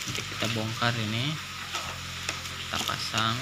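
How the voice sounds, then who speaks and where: A man's voice: fairly low pitch, steady tone, moderate pace, very noisy. A man giving a casual unboxing commentary, informal and thinking aloud as he goes. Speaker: product reviewer. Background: music.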